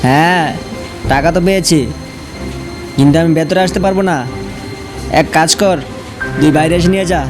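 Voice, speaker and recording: A man playing a brave, protective older brother who gives firm instructions in an animated horror story. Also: a little high, moderate pace, pauses often, over music, natural voice. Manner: firm, commanding.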